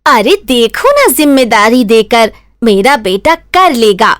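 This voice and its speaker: A woman's voice: on the high side, big pitch swings, takes it slowly, fairly bright tone, dry recording, studio quality, exaggerated voice. A woman voicing a doting, protective village mother in a Hindi cartoon story, pleading and insistent. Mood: persuading.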